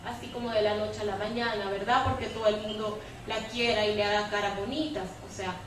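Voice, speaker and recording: A woman's voice: medium pitch, fairly even intonation, fairly quick. A woman giving spontaneous, explanatory panel commentary, often closing phrases with a tag question. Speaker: panelist from a women's rights organization. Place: echoey room at a public debate. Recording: very echoey room, distant mic, faint noise.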